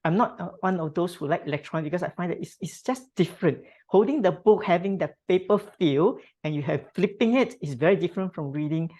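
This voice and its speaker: Thoughtful woman guest, lowish voice. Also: lively intonation, quick pace, few pauses, warm tone. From Singapore. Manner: thoughtful, many fillers, tag questions. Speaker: podcast guest. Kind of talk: reflective interview answers.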